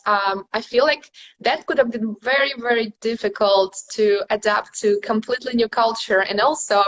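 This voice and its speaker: A woman's voice: medium pitch, a bit bright, rough recording. A woman career coach hosting an interview, encouraging and chatty, with frequent ums. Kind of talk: casual interview.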